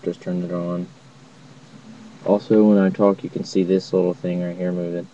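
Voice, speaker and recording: A young man talks through a casual review in a low, monotone voice. His delivery is informal and halting, picked up by a distant mic in a muffled home recording. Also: slight background noise. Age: young adult. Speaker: app reviewer. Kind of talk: casual tutorial to camera. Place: indoors, dim cluttered room at home. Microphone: laptop mic, later phone headset mic.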